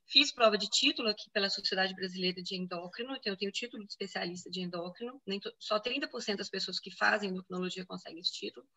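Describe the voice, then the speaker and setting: A woman's voice: mid-range voice, racing, hardly any pauses, pushed and bright, low-quality recording. A woman in her thirties with a Brazilian Portuguese accent from Minas Gerais, answering interview questions in a chatty way with frequent "né?" tags. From Venezuela, raised in Brazil. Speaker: physician guest.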